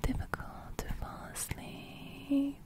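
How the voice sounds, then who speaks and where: A young adult woman whispering soothingly for an ASMR roleplay close to the microphone, with a breathy crackle that edges into soft speech. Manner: soothing, slow, reassuring. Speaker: ASMR host. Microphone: large studio microphone, very close.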